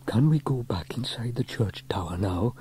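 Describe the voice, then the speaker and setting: A man's voice: mid-range voice, lively intonation, normal speed, mellow, slight background noise, natural voice. Man acting a jittery, wailing male firefighter. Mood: nervous. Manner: anxious, groaning, wailing. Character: nervous, gulping male firefighter afraid of heights.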